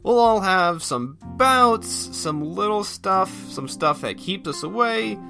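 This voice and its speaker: A man's voice: sits high, expressive, takes it slowly, creaky, music in the background. A man talking casually on his own as a podcast host, thinking aloud in a folksy, self-effacing way. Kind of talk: casual solo rambling chat.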